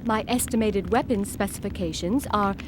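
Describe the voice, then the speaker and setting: An actress playing a polite, literal female combat android in a video game, speaking in a measured, flat, formal way. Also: mid-range voice, background noise, lightly acted. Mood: impassive, courteous.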